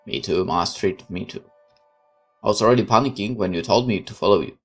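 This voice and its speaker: A woman's voice: very deep voice, brisk, slightly rough, faint music behind, heavily acted. A woman voicing a naive young male soldier in a visual novel, doubtful but caring. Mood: grateful.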